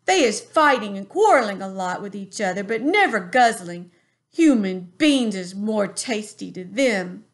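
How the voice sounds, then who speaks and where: A woman voicing a gentle, friendly male giant who speaks in garbled grammar. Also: mid-range voice, lively intonation, measured pace. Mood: earnest. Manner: mangled grammar, earnest pleading.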